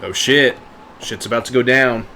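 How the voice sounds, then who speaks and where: A man giving informal live commentary on a video game, reacting out loud as he plays. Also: mid-range voice, steady tone, normal speed, a little hiss or hum. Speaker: game livestreamer. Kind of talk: casual gameplay commentary.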